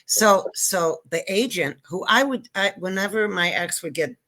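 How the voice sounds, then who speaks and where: A woman's voice: mid-range voice, moderate pace, sharp tone. An older woman born in Israel whose first language was Hebrew, telling her story in a rambling, self-interrupting, joking way as a guest comedian. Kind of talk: casual interview chat.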